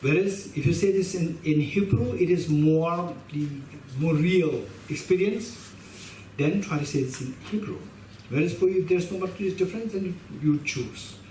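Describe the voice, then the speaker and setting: A man's voice: on the high side, moderate pace, warm tone. A middle-aged man with a Tibetan accent, a Buddhist teacher leading a class, speaking emphatically and often repeating and restarting phrases. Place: meditation hall with shrine, indoors. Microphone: stand mic in front of him. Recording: roomy hall sound, mic a bit distant, faint hiss.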